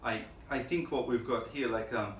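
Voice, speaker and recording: A man with a mid-range voice hosting a relaxed conversation, chatty and casual, leaning on "like" and "you know". He sounds far from the mic in an echoey room, with faint noise behind him. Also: medium pitch, moderate pace. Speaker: host.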